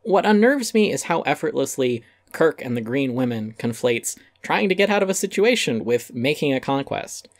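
A man's voice: medium pitch, sing-song, normal speed, hardly any pauses, no room sound. A man giving a dramatic reading of a critical essay, wry and articulate with theatrical emphasis. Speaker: essay narrator.

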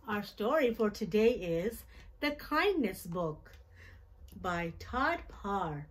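A middle-aged woman hosting a children's storytime, reading a picture book aloud in simple, deliberate phrases and putting questions to her young listeners. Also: medium pitch, very animated, breathy edge. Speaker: children's storytime host and reader. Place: small decorated indoor room.

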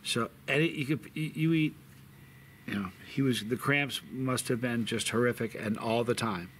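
A man's voice: medium pitch, normal speed, fairly bright tone, a little hiss or hum. A middle-aged man hosting his own hot sauce channel, talking casually to the camera from a sunny backyard, with many restarts and fillers. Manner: rambling, frequent restarts and fillers. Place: sunny backyard patio outdoors. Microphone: camera in front, seated close.

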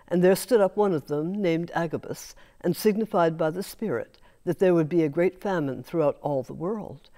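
A woman's voice: fairly low pitch, dark tone. An older woman serving as lay reader, steadily reading a scripture lesson from a page. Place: large church sanctuary. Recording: long church reverb.

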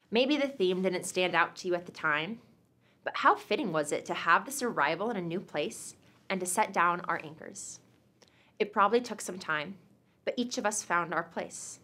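Young adult woman, student commencement speaker, earnest and reflective, mid-pitched voice. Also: fairly bright tone. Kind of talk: prepared graduation speech.

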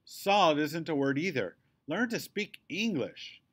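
A man's voice: a little high, slow pace, breathy edge, voice pushed up, full character voice. A man voicing a feisty, chatty little girl in a storybook, speaking emphatically and indignantly. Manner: emphatic, excitable.

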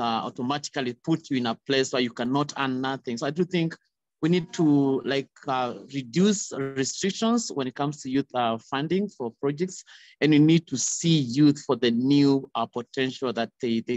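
A man's voice: mid-range voice, no room sound. Young guy on a panel over phone video call, dull dry audio, long winding answers. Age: young adult. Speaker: youth panelist. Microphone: phone at arm's length on video call.

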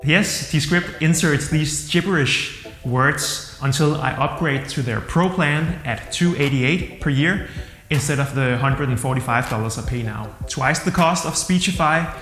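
A man in his thirties with a Danish accent reviewing software to camera in an upbeat, plain-spoken way. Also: on the high side, measured pace. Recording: roomy hall-like echo with soft music.

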